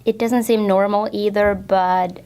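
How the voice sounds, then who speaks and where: A woman's voice: medium pitch, fairly even intonation, a little slow, dark tone, clear, resonant tone. A young woman from southern Finland with a Finnish accent, a student teacher giving brief, plain answers in careful English in a casual interview. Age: young adult.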